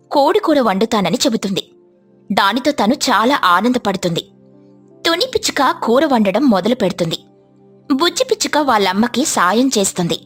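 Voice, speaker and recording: A woman delivers scripted narration for a children's story. She takes the role of storyteller, and soft music plays faintly behind her voice. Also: on the high side, breathy edge.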